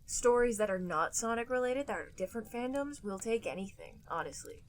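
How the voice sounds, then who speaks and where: A woman's voice: fairly high pitch, lively intonation, brisk. A young adult woman co-hosting a casual podcast, chatting loosely with lots of filler words and frequent laughter. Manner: laughs often, many "like" fillers. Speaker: podcast co-host. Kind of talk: casual chat.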